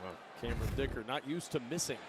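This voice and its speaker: A man's voice: medium pitch, fast, some chatter behind. A man giving football play-by-play in broadcast commentary style. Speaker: play-by-play announcer. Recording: noisy game broadcast audio.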